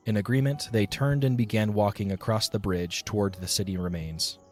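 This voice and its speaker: A man with a fairly low voice narrates an audio drama. His storytelling is scripted, measured and descriptive, with soft music underneath. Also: fairly even intonation.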